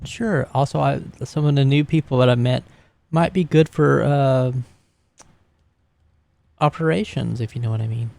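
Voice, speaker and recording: A man's voice: mid-range voice, normal speed, vocal fry, darkish tone, dry recording, close to an everyday voice. A man playing a sheepish, affectionate young woman on the phone, hesitant, evasive and sweet in manner. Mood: affectionate, reassuring.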